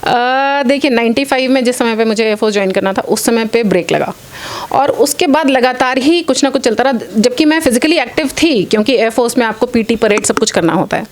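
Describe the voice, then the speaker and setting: A woman's voice: on the high side, lively intonation, brisk. A woman in her late forties answering interview questions earnestly, mixing English phrases into her speech. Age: late 40s. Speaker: interview guest, athlete and former air force officer.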